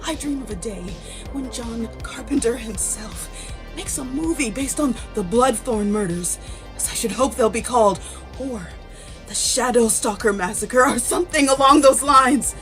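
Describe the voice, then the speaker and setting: A woman's voice: a little high, normal speed, natural voice. A woman playing a gloating, fame-hungry killer in a theatrical, grandiose villain monologue for an audio drama. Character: gloating, fame-hungry young killer dreaming of horror legend. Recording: loud music behind the voice. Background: music.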